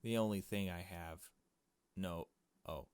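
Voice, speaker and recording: A man's voice: deep voice, takes it slowly, a little mellow, smooth, no echo. A man voicing a suspicious, cynical, foul-mouthed young woman sleuth in a visual novel, insistent and blunt. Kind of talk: visual novel dialogue read aloud.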